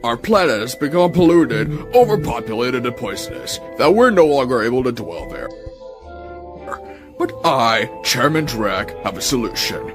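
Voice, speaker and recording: A man's voice: mid-range voice, very animated, normal speed, over music, lightly acted. A man voicing a pompous, scheming alien tycoon villain with an oratorical, condescending delivery. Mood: smug, grandiose.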